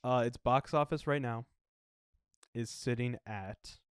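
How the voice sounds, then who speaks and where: Male co-host, medium pitch, clean smooth voice, dry sound, casual and halting delivery. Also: no room sound. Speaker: podcast co-host. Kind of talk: casual film podcast chat.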